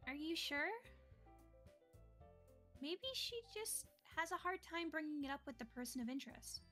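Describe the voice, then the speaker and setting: Woman voicing a high, gentle schoolgirl club president. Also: moderate pace, faint music behind. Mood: concerned, gentle. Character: friendly, caring teenage girl, club president. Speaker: guest player voicing a game character. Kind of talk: amateur visual novel voice acting.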